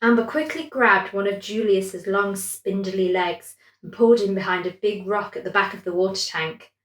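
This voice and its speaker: A woman's voice: mid-range voice, fairly even intonation, normal speed, reverberant. A woman reading a scripted bedtime story as its narrator.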